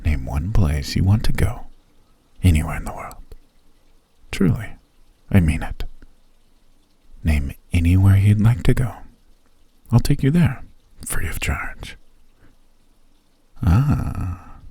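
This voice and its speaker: A man voicing a deep gravelly elf host. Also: slow, long pauses, dry recording, heavily acted. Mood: playful. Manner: genteel, teasing, softly menacing. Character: reclusive elf man, wealthy, mysterious, teasing. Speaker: voice actor. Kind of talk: ASMR roleplay.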